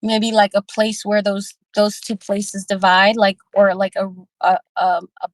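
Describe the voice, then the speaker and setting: A woman in a casual group discussion, talking informally and haltingly as she thinks aloud, with slangy phrasing. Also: medium pitch, level tone, dry recording, low-quality recording. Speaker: book club discussion participant.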